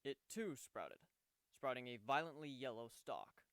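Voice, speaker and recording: A man's voice: medium pitch, expressive, a little slow, fairly bright tone, clean tone, dry recording. A man narrating fan fiction as a dramatic storybook reading.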